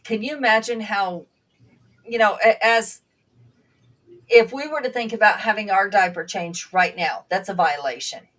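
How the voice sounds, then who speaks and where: A woman whose first language was Bulgarian, a panel guest and former nursing assistant, speaking earnestly and reflectively with halting restarts. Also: mid-range voice, a bit bright, recorded from a distance. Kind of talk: conversational panel talk.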